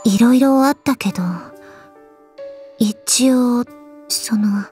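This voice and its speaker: A woman voicing a cool, aloof, quietly caring gorgon swordswoman in a game, languid, deadpan and curt, with a soft, husky voice. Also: on the high side, slow, soft background music. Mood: reluctant, contrite. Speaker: voice actress. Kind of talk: scripted Japanese mobile game character lines.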